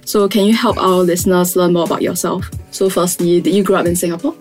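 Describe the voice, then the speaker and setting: A woman's voice: lowish voice, fairly even intonation, quick pace, faint music behind. A woman hosting an interview podcast, introducing guests and asking questions with frequent short acknowledgements. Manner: bright opener, then frequent short backchannels. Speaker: podcast host.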